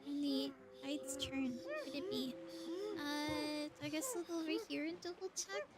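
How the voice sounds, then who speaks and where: A woman's voice: high-pitched, a little slow, over music. A woman livestreamer giving casual, chatty gameplay commentary, thinking aloud as she plays.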